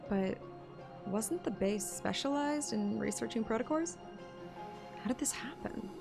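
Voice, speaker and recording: A woman's voice: fairly high pitch, expressive, a little slow, vocal fry, over music, voice pushed up. A woman playing an alert, inquisitive young female hunter in a game drama, with direct delivery. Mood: curious.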